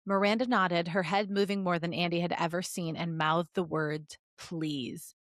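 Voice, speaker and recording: A woman's voice: on the low side, steady tone, normal speed, no room sound. Playful woman podcaster, dry close sound. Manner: playful, giggly. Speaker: podcast co-host reading fan fiction aloud. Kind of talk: expressive story reading mixed with casual banter. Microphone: close podcast microphone.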